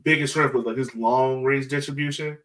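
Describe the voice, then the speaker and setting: A man chatting casually and excitedly in slangy speech as a soccer fan podcast co-host. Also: medium pitch, fairly quick. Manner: animated, slangy.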